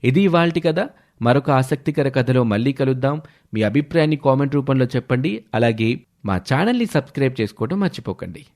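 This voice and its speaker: A male storyteller with a medium-pitched voice. He reads scripted narration with expressive delivery, as for a moral tale. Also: fairly quick.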